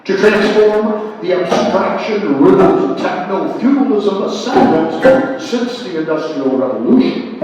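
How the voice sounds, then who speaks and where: A man giving a live poetry reading of his own work, with measured, deliberate phrasing and frequent pauses. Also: fairly high pitch. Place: live reading in an art gallery. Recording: echoey hall, distant mic, muffled.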